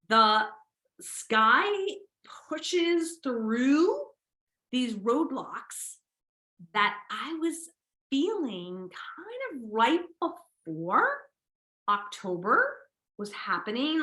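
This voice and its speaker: A woman's voice: fairly high pitch, sharp tone, reverberant. A woman podcast host, talking casually and enthusiastically, with a slight sniffle and a little nasal. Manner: enthusiastic, upbeat, repeats words for emphasis. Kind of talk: casual solo podcast talk.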